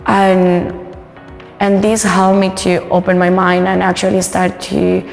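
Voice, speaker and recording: A woman's voice: lowish voice, completely flat delivery, measured pace, gravelly, in a large hall, faint music behind. A woman from Colombia, a native Spanish speaker with a Colombian accent, casually sharing personal stories and cooking as a guest. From Bogota, Colombia. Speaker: guest and home cook.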